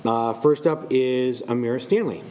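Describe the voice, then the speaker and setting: A man's voice: medium pitch, normal speed, darkish tone, slight background noise. A man, the mayor, chairing a city council meeting and reading out agenda items and speaking rules in a businesslike way.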